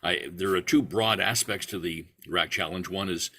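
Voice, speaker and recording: A man's voice: low-pitched, moderate pace, pushed and bright, slight background noise. A man answering a question in an online meeting, rambling through restarts and fillers. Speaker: award creator, club volunteer.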